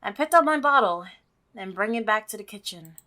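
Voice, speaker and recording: A woman's voice: mid-range voice, animated, fairly quick, bright, forceful tone. A woman voicing a polite, inquisitive young female neighbor who narrates a visual novel. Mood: puzzled.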